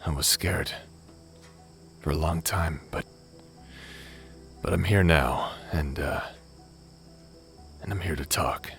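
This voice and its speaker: A man's voice: very deep voice, creaky, a bit bright, faint music behind, exaggerated voice. A man playing a remorseful former space pirate captain and awkward brother in a dramatic sci-fi audio roleplay. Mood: tender, awkward. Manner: halting, sighing, hesitant delivery.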